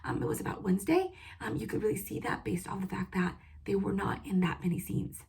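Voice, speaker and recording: A woman with a hoarse voice, losing it after a cold, giving a casual, opinionated solo review of a TV show with plenty of "um" and "like". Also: medium pitch, lively intonation, fairly quick, a little mellow, whispered.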